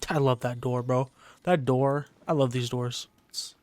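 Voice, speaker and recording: A man's voice: medium pitch, steady tone, unhurried, a little mellow, no echo. A man plays a video game and talks to himself as he goes. His commentary is casual and slangy, in an ordinary mid-range voice, with short muttered reactions. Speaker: gamer commentating his own playthrough. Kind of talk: casual let's play commentary.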